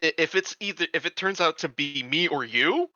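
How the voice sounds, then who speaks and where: A man's voice: a little high, animated, normal speed, bright, forceful tone, muffled recording, a little hiss or hum. A man chatting casually as a player in a tabletop roleplaying game. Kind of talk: casual chat.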